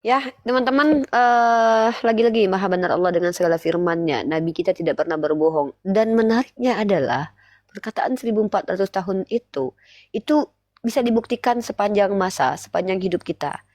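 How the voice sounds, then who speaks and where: A woman's voice: medium pitch, fairly quick, a little mellow, dry recording, clean studio sound. A woman, speaking didactically in a live online religious debate and putting rhetorical questions to listeners. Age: 30s.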